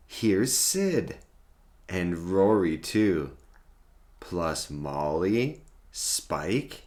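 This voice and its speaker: Deep-voiced male narrator for kids' picture books, highly varied intonation, theatrical emphasis on key words. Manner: deliberate, dramatic storytelling with drawn-out key words. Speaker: host reading children's picture books aloud. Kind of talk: expressive children's storybook read-aloud.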